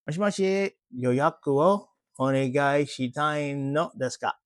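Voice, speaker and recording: A woman's voice: sits low, moderate pace, no room sound, clean studio sound, natural voice. A woman playing a polite learner making phone calls in a lesson role play, using short, simple phrases.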